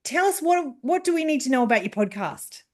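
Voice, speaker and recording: A woman's voice: high voice, big pitch swings, fairly quick, a little mellow. A woman hosting a podcast interview in a casual, encouraging way, asking open questions. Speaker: podcast host.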